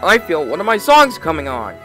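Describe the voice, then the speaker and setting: A man's voice: a little high, wildly swooping pitch, fairly quick, a bit bright, faint music behind. A man voicing a cheerful, hokey dog detective in an amateur cartoon, with folksy, florid exclamations. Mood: dreamy.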